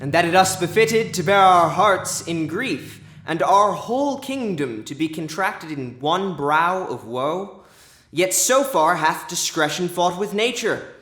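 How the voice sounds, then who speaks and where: A man's voice: on the high side, a bit bright, reverberant. A man playing a smug, jovial king addressing his court in a theatrical Shakespearean audio drama. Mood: stately, solemn. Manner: theatrical, declamatory.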